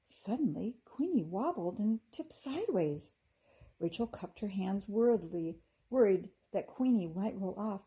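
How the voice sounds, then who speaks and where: A woman with a husky voice reading a children's story aloud expressively, like a teacher to her class. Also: medium pitch, lively intonation, a little slow, dark tone, low-quality recording.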